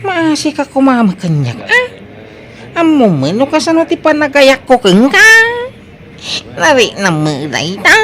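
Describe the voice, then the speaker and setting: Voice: high voice, huge pitch swings, measured pace, fairly bright tone, full character voice. An actor playing a heartbroken, jilted older brother in a comedy drama, wailing and overwrought in an exaggerated style. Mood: pleading.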